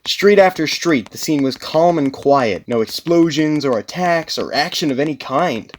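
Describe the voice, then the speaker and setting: A man's voice: fairly high pitch, expressive, normal speed, slight background noise. A man reading scripted story narration as an audiobook narrator, in a dramatic storytelling manner. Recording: music behind the narration.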